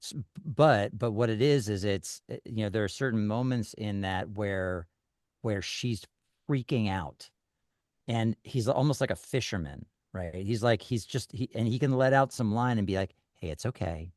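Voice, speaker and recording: Guy with a lowish voice giving script notes, restarts a lot, dry sound. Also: normal speed, darkish tone, no echo. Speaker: script reader giving feedback.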